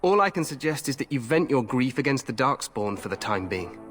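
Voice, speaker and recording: An actor playing a confident, glory-hungry young king in a fantasy game, regal, grand and expressive. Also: mid-range voice, fairly quick, soft background music. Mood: regretful, regal. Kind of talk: fantasy video game acting.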